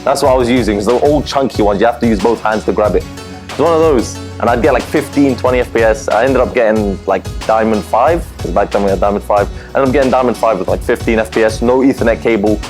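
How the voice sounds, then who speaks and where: A young man from Lebanon, telling his story as a streamer, candid and animated, full of fillers. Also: on the low side, fast talker. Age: young adult. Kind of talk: personal interview storytelling. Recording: music bed under voice.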